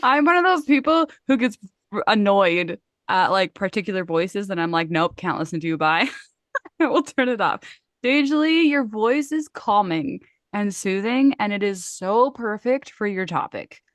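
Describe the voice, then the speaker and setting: A woman's voice: medium pitch, very animated, measured pace, fairly bright tone, dry recording. A woman co-hosting in casual chat, talking in a chatty way, laughing easily and sometimes losing her train of thought. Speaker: co-host.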